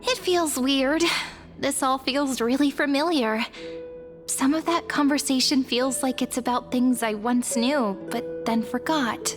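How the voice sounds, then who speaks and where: A woman voicing a gentle, pensive young girl companion in a video game, musing a little hesitantly. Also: high voice, a little slow. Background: game music.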